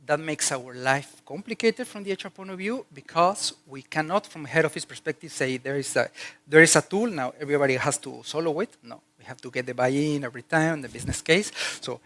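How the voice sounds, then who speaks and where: A man's voice: fairly high pitch, brisk, fairly bright tone. Mexican-accented man giving a conference talk. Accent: Mexican Spanish. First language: Spanish. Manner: chatty, with fillers and restarts. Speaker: conference speaker. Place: conference hall.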